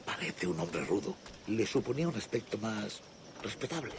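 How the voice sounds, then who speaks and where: An actor playing a gruff, gossiping Spanish guard in a video game. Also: male voice, on the low side, sing-song, brisk, background noise. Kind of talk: video game background dialogue.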